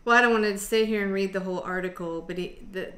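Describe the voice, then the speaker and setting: A woman's voice: mid-range voice, fairly even intonation, fast, fairly bright tone. A middle-aged woman hosting a casual online group discussion, talking in a rambling, scattered way. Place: indoor room on an online video call. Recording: roomy echo, background noise.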